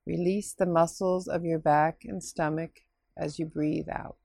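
Slow, creaky woman guiding meditation. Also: low-pitched, steady tone, dark tone, no room sound, studio quality. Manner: soothing, unhurried, instructing with pauses. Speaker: meditation guide, therapist. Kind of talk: guided relaxation meditation, scripted.

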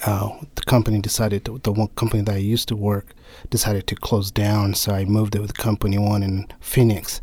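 Halting man, low flat voice. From Nepal. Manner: halting, frequent restarts and fillers. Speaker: interview guest, government engineer. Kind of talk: conversational interview.

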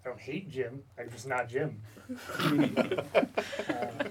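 A man's voice: medium pitch, huge pitch swings, vocal fry, far from the mic, very noisy. A young man, a guest in a casual interview, joking and poking fun at himself. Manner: joking, self-mocking, quick to laugh. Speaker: guest, Army Russian linguist.

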